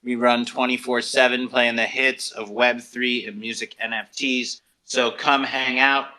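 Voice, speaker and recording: Very bright, forceful-voiced male show host. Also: medium pitch, flat delivery, unhurried, low-quality recording. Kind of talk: interview hosting.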